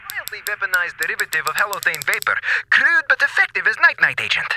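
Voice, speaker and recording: A man's voice: high-pitched, wildly swooping pitch, fast talker, sharp tone, slight background noise, heavily acted. An actor playing an eccentric male space-station doctor who speaks clipped, broken English and is cheerfully clinical about harm. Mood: gleefully clinical. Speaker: ship's doctor. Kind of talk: audio drama acting.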